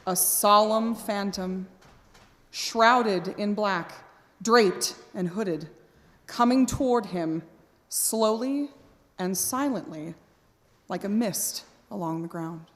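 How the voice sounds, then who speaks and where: A woman narrating a radio play as a scripted story, with dramatic, deliberate phrasing. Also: medium pitch, a bit bright. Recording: reverberant hall.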